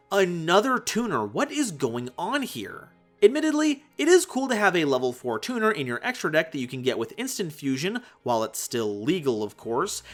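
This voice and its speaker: Animated, higher-pitched man narrating. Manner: animated, playful, conversational asides. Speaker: host of a card game analysis channel. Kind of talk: scripted video narration, card-by-card commentary.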